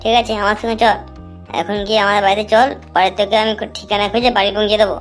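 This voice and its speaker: A woman's voice: medium pitch, flat delivery, very fast, faint music behind, lightly acted. A woman voicing a blunt, foul-mouthed young village clothes seller in a cartoon, given to grumbling and scolding. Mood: resigned. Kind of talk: cartoon dialogue.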